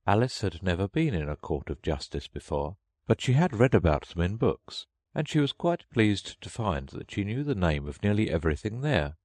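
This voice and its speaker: A man's voice: deep voice, very animated, normal speed, few pauses, darkish tone, no echo. A man reading a children's story aloud as scripted audiobook narration.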